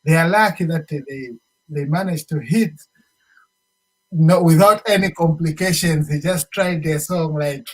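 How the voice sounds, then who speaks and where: A man's voice: a little high, a little slow, poor audio. A man with a Zambian accent chatting as an interview guest, emphatically restating his points and slipping into local phrases. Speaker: show guest, musician. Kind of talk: casual interview chat.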